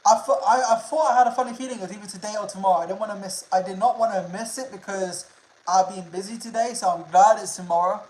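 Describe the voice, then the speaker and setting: A man's voice: high-pitched, fast talker, darkish tone, slight background noise. A man from Liverpool with no regional accent, chatting casually on a nature livestream and answering viewers, laid-back and swearing freely. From Liverpool, England. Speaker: nature streamer. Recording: echoey room.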